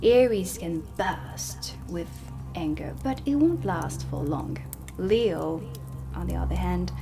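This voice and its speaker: A woman giving a soft-spoken ASMR roleplay lesson as a witch teacher, explaining in a measured way and dropping into whispers. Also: lowish voice. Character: stern female dark witch teaching at a magic academy. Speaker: ASMR performer playing a teacher. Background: ambient music.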